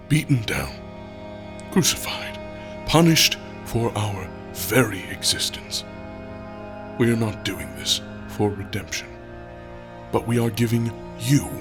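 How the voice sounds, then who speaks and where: A man playing a grave, resolute leader of outcasts in an audio drama, speaking in deliberate, solemn oratory. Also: fairly low pitch. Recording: dramatic music behind the voice.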